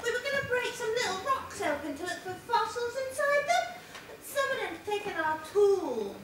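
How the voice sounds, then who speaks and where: A woman's voice: very high-pitched, big pitch swings, normal speed. A woman playing a cheeky baby bear with exaggerated childlike delivery in an amateur stage pantomime. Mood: cheeky, childish. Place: theatre stage, far camera. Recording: echoey theatre from far off, noisy.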